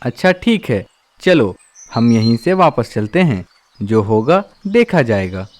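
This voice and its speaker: A man's voice: medium pitch, sing-song, measured pace. A man voicing a chatty, easygoing male crow who runs a hotel in a cartoon. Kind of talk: cartoon voice acting.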